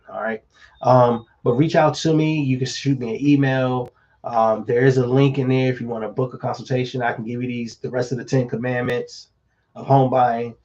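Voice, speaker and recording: A man, slightly hoarse, giving casual real estate advice in a relaxed, conversational way with many fillers. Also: mid-range voice, lo-fi sound, slight background noise. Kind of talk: casual live-stream advice talk.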